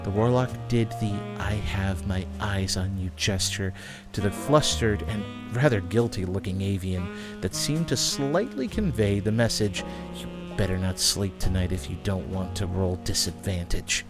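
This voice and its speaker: A man's voice: low-pitched, moderate pace, music in the background, low-quality recording. A man reading scripted fantasy story narration as the narrator of an audio drama.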